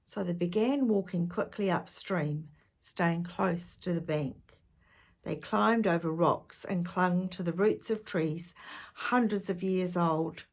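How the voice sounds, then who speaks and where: A woman reads a picture book aloud to young listeners. Her voice sits lowish, husky and gravelly, and she slips from expressive narration into chatty questions for the children. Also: slow pace. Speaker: children's storyteller. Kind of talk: read-aloud storytelling with chatty asides.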